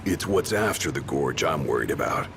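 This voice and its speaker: A man playing a gruff, plain-spoken travelling companion in a video game, grumbling casually and dryly. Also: very deep voice, expressive, normal speed, noisy background. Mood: wary.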